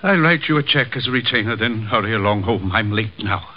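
Anxious client, widely swinging voice. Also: male voice, fairly low pitch, animated, brisk. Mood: protesting. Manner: earnest, formal. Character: anxious, indignant male client fearing for his life. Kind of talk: old-time radio drama acting. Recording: dull vintage radio recording.

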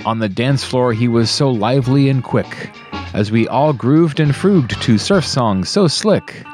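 A man's voice: medium pitch, animated, unhurried, a little mellow. A man reading a holiday poem in rhyme with playful, theatrical storytelling. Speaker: DJ on a radio show. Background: plucked guitar music.

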